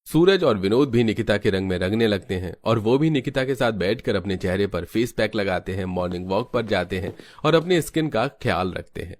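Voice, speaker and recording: A man's voice: fairly low pitch, expressive, a little fast, hardly any pauses. A man reading scripted narration for a family cartoon story.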